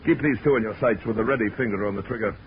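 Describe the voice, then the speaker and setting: A man's voice: lowish voice, very fast, close to an everyday voice. A man playing a suave, ruthless big-game hunter, formal and pompous with cool menace, in 1940s radio style. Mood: smug command. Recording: noisy, dull 1940s radio recording.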